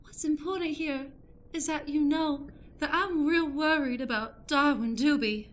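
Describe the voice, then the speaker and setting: A woman plays an earnest, eccentric woman with a condescending streak in an improvised radio play. Her voice is on the high side, hesitant and confiding, with mild melodrama. The recording is a little noisy. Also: unhurried. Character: earnest, eccentric, condescending woman with secret cat alter ego.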